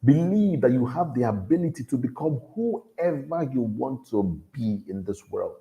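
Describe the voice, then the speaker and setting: A man with a deep voice, giving an earnest motivational talk to young people and repeating his key lines for emphasis. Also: animated, normal speed, mellow, highs cut off. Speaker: motivational speaker at a youth event.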